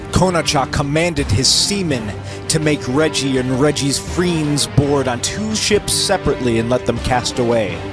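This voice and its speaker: A man narrating an amateur pirate story as a theatrical dramatic reading. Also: medium pitch. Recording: music playing behind the voice. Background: music.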